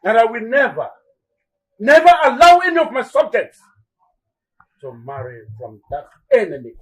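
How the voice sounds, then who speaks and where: A man's voice: on the high side, big pitch swings, measured pace, slightly rough, dry recording, heavily acted, rough recording. An actor with a Nigerian accent playing a stern, imperious king and father in a royal drama, with a strained, declamatory delivery. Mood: stern, indignant. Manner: declamatory, repeats commands for emphasis. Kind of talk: Nollywood royal drama acting.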